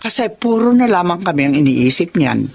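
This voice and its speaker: A woman's voice: on the low side, big pitch swings, brisk, vocal fry, darkish tone, husky, dry recording, heavily acted. A woman with a Filipino accent playing a blunt but loving mother of a grown daughter, emphatic, in a radio drama.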